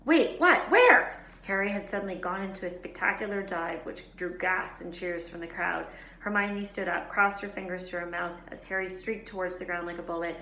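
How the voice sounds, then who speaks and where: A woman teacher reading a children's novel aloud in a lively, expressive way, fixing small slips as she goes. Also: fairly low pitch, a little fast. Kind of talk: storybook read-aloud narration. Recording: roomy echo, faint background hiss.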